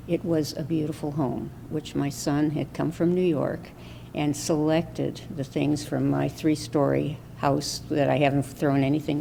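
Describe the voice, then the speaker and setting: An older woman answering interview questions in a reflective, halting way. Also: deep voice, vocal fry, mellow, slight background noise, rough recording. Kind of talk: unscripted personal interview.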